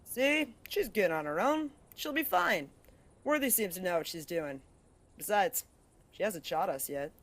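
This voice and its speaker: A woman's voice: medium pitch, sing-song, measured pace, dry recording. A woman playing a brash, tough wasteland wanderer who talks bluntly and curses. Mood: friendly. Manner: blunt, cursing, sharp-tongued.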